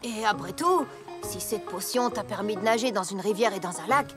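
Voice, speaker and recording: A woman's voice: mid-range voice, normal speed, natural voice. A woman voicing an earnest, protective big-sister pony, frank and plain-spoken, in a cartoon. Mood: reluctant, reasoning. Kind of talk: animated cartoon dubbing. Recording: faint background music.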